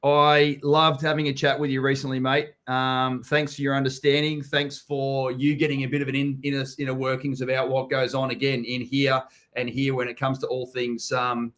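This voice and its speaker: A man's voice: mid-range voice, little pitch movement, moderate pace, hardly any pauses, a bit bright. A man with an Australian accent hosting a casual live chat, blunt and swearing freely, calling everyone mate. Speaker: host.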